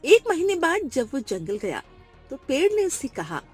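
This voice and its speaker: A woman's voice: high-pitched, wildly swooping pitch, moderate pace, faint music behind. A woman narrating a scripted moral story for children.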